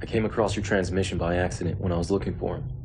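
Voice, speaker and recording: A somber clan warrior turned defector in a video game. His voice is deep and his delivery terse and grave. A faint rumbling ambience sits under it. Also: fairly even intonation, rapid-fire, noisy background. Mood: somber, regretful. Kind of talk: dramatic video game cutscene acting.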